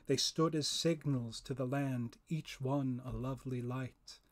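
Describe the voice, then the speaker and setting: Guy reading an old story poem real slow, solemn and theatrical. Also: medium pitch, fairly even intonation. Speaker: poetry reader, professor. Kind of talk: dramatic reading of narrative poem.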